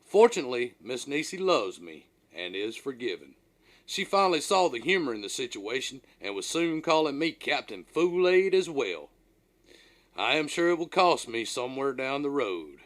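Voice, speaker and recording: A man's voice: mid-range voice, very animated, normal speed, a bit bright. A man reading his own first-person memoir as an audiobook, in a folksy, dramatic storytelling manner.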